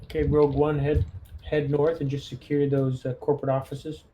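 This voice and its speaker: A man's voice: a little high, completely flat delivery, moderate pace, dark tone, highs cut off. A man chatting casually as a player in a tabletop roleplaying game. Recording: faint noise, keyboard clicks.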